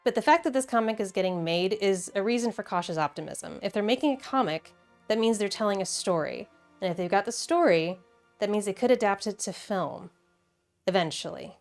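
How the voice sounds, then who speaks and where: A woman's voice: mid-range voice, expressive, brisk. Woman, casual video commentator, informal, opinionated, mid-range voice, music behind. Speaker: video host. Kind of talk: casual video commentary with game play reactions. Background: background music.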